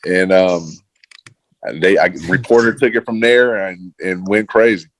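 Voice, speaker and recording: A man's voice: deep voice, measured pace, rough recording. A man being interviewed about his football career, talking casually and loosely with lots of fillers and slang. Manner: laid-back, slangy, trails off with fillers. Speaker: interview guest, former football player. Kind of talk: casual conversation.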